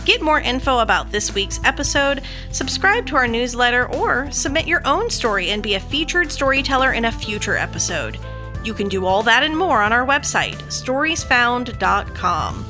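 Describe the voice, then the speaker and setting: A woman's voice: on the high side, big pitch swings, moderate pace, sharp tone, faint music behind. A woman hosting a podcast, reading a scripted outro with credits and announcements. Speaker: podcast host.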